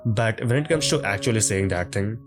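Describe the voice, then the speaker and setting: A man with a fairly low voice and a blocked nose from a cold, speaking English as a non-native speaker. He narrates a scripted tutorial in a measured, deliberate way, with guitar music clearly heard behind him. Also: expressive. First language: not English. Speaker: speaking coach.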